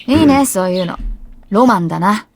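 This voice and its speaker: A woman's voice: medium pitch, animated, a little mellow, a little hiss or hum, close to an everyday voice. A voice actress playing a brash, teasing schoolgirl who captains the archery club, in a game voice clip with music under it. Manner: teasing and blunt, quick retorts.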